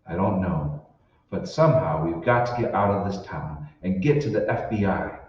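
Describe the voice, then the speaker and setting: A man plays a determined radio-drama hero with a fairly low, animated voice. He is urgent and emphatic. The recording is muffled and very echoey, as from far off. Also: normal speed. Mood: tense, determined. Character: determined, earnest man uncovering a conspiracy. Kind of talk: old-time radio drama acting. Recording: echoey hall, distant, muffled, noisy.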